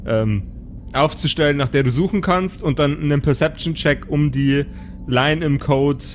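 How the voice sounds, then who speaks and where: A man's voice: mid-range voice, slight background noise. A man running an improvised tabletop role-play as game master, narrating casually.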